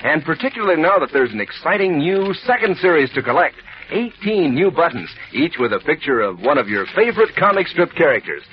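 A man's voice: on the high side, sing-song, brisk, few pauses. A man delivering a scripted radio commercial in a cheerful, salesy manner. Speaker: sponsor spokesman. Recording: dull old radio recording.

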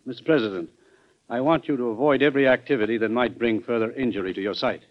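An actor playing a concerned, formal physician, grave and courteous, in an old-time radio drama. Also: male voice, medium pitch, fast talker, natural voice. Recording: dull, lo-fi vintage radio recording.

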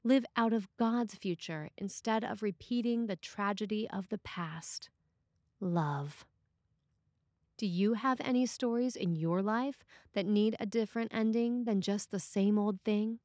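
A woman priest reading a scripted sermon in a measured, deliberate way. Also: medium pitch, darkish tone, no room sound, clean studio sound.

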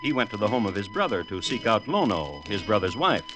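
An actor playing a wry storyteller in a classic radio drama, narrating theatrically with deliberate pauses. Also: male voice, fairly low pitch, sing-song, music in the background. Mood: dry, explanatory. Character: wry storyteller chronicling a village murder tale.

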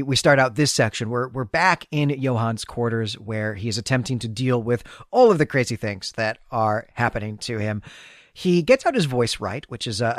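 A man's voice: medium pitch, no room sound, studio quality. A man co-hosting a literary podcast, recapping a story in an articulate, explanatory way. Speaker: podcast co-host. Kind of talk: literary podcast recap with read-aloud passages.

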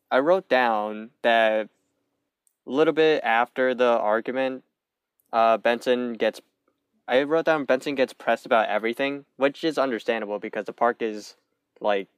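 A man's voice: mid-range voice, measured pace, clear, resonant tone, dry recording. A young man co-hosting a podcast, casually recapping a cartoon episode and swearing freely. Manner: loose, swears casually, narrates plot points. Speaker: podcast co-host.

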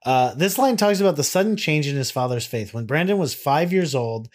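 A man's voice: on the high side, animated, a little fast. Sweary, rambling male podcast co-host. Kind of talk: casual banter, reading song annotations aloud.

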